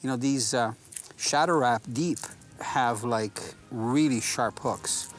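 A man's voice: mid-range voice, slow pace. A man in his sixties hosting a fishing show, explaining technique from a kayak on a lake. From Canada. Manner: relaxed and explanatory, easily excited by a catch. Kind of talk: casual outdoor fishing commentary.

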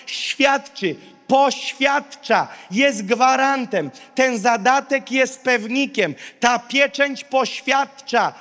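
High-pitched male preacher in a reverberant hall. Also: fairly bright tone. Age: 30s. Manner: emphatic, declarative, short repeated phrases with dramatic pauses. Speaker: pastor preaching. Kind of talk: sermon to a large live congregation. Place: large indoor auditorium stage. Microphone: handheld microphone. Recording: big reverberant hall PA sound.

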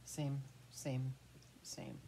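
A middle-aged American woman with a low-pitched voice talks slowly and informally as she shows craft supplies. She thinks out loud and lets sentences trail off. The recording is made in a small room with clear background noise and clicks. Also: expressive. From United States. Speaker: craft vlogger. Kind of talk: casual rambling craft show-and-tell.

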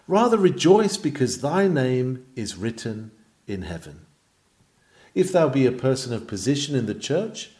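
Mellow man reading a sermon, animated delivery. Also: medium pitch. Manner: earnest, oratorical, emphatic phrasing. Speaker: reader of historical sermons. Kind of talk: sermon read aloud from a script.

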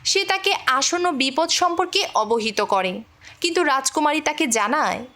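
A woman narrates a fantasy film recap. Her voice sits high in pitch. She reads from a script in a storytelling style, as a recap narrator. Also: animated, normal speed, pushed and bright.

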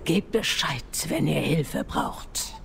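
A cold undead woman, speaking slowly and quietly with a rough, creaky edge. Hiss and rain sit clearly behind her in this fantasy game. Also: deep voice, lively intonation, exaggerated voice. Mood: subdued. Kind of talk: game dialogue.

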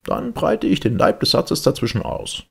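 A man playing an ironic humorist orator, delivering a theatrical, mock-earnest formal speech with dramatic emphasis in a husky, gravelly voice. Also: medium pitch, wildly swooping pitch, moderate pace, full character voice. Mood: ironic, emphatic. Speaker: reader. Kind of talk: theatrical literary speech reading.

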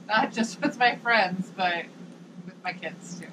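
A woman's voice: mid-range voice, takes it slowly, far from the mic, noisy background. An older woman chatting and laughing about her bedtime.

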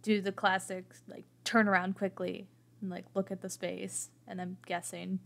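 A woman playing a tabletop game, improvising her character's actions as she thinks aloud in a halting way. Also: mid-range voice, level tone, gravelly.

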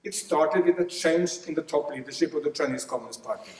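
A man's voice: fairly high pitch, fast. A middle-aged man with a Norwegian accent giving a conference keynote at a podium, earnest and fond of gesturing, often restarting with fillers. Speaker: keynote speaker. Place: large lecture hall. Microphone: podium microphone, filmed from afar. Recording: echoey hall from far off.

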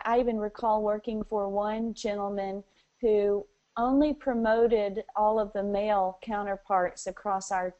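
A woman's voice: medium pitch, dark tone. A woman from Texas talking conversationally as a guest in a phone interview. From Texas, USA. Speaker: interview guest, former association president.

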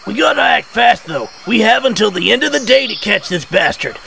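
A man's voice: high-pitched, very animated, fairly quick, vocal fry, sharp tone, full character voice. A man playing a gung-ho, cheerful, scheming hunter in an amateur cartoon audio drama, with theatrical delivery. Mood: urgent, planning. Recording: music laid under the voice.

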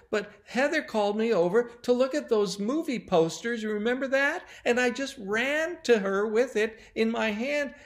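A small, innocent boy in a children's story, acted out by a man, pitched very high and swooping with emotion. He pleads tearfully, frightened and full of guilt. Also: a little mellow, full character voice.

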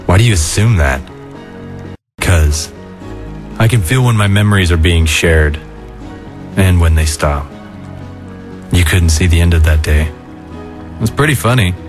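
A man in an animated comic dub playing a weary, laid-back adult skeleton, brooding yet kind, with a low-key, reflective drawl. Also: unhurried, pauses often, over music. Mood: weary, guarded.

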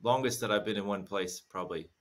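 An American man in his forties from the Midwest co-hosts a podcast over a video call. His voice sits low, and he talks in a jokey, animated way. He speaks through earphones from a small room at home. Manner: jokey, animated, quick to laugh. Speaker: podcast co-host. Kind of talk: casual video-call chat. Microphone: earphone headset on a video call.

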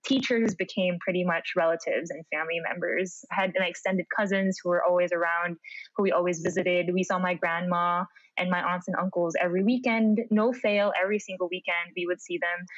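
A woman's voice: lowish voice, no echo, dull audio. A young woman from the Philippines, chatting as a podcast guest in an upbeat, talkative way. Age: young adult. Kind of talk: casual interview chat.

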